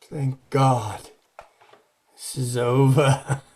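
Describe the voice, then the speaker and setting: A man's voice: medium pitch, level tone, very slow, darkish tone. A man playing a solemn, pious holy man battling evil, grave and declamatory, in an old time radio horror play.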